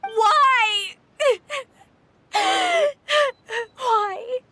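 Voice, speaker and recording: A woman's voice: high voice, sing-song, unhurried, sharp tone, dry recording, heavily acted. A woman playing a hot-tempered, cornered young girl in a video game, indignant and confrontational in delivery. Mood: anguished. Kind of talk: scripted game voice acting.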